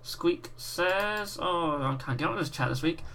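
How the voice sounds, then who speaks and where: A British man with a croaky voice hosting a livestream, chatting casually and reading out viewer messages while painting miniatures. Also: a little high, a little slow. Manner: relaxed, reads out chat messages. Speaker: livestream host.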